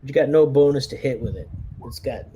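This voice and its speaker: A man's voice: mid-range voice, a little fast, noisy background. A man chatting casually as a player in a tabletop roleplaying game, organising the squad.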